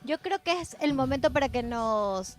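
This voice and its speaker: A woman's voice: sits high, expressive, normal speed, faint music behind. A woman hosting a casual, conversational chat show. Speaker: co-host.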